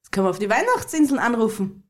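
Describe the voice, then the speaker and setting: A woman presents fun facts on a casual podcast, addressing the listeners directly. Her voice is high and moves around a lot, and she giggles often. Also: sing-song, fast talker. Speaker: podcast co-host.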